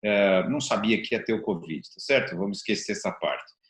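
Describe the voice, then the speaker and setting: A man's voice: on the low side, a little fast. A man with a Brazilian accent, hosting an interview with informal, meandering questions. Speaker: interview host. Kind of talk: conversational interviewing. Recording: roomy, echoey sound.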